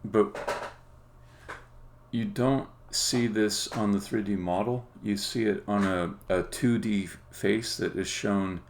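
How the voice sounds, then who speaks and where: A man with a low, creaky voice talks casually as a developer streaming his work. He feels his way through explanations haltingly, thinking out loud as he goes. Noticeable background noise sits behind his voice. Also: steady tone. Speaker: game developer, live streamer. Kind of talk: casual live-coding commentary, explaining on a stream.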